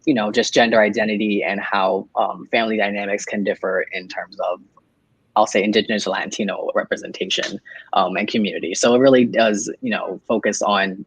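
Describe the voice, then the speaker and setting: A man's voice: on the low side, husky, no room sound, poor audio. A man on a panel, a trainer and author, talking enthusiastically and a little rambling. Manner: warm, enthusiastic, a bit rambling. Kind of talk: casual panel talk.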